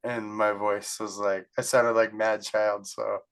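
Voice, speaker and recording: Slow-talking easygoing man. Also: fairly low pitch, little pitch movement, fairly bright tone. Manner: easygoing, chuckles along. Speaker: rapper and podcast guest. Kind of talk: casual podcast chat.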